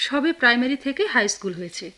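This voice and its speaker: High, animated, fussing Bangladeshi woman. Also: fast talker. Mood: worried, chiding. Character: fussing, protective older-sister figure, a married woman. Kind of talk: Bengali radio drama acting.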